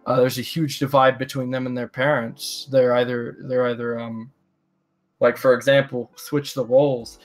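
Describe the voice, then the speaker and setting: A man in a casual livestream discussion, explaining his opinions in a halting way and repeating phrases. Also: mid-range voice, flat delivery, faint music behind. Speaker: livestream talk participant.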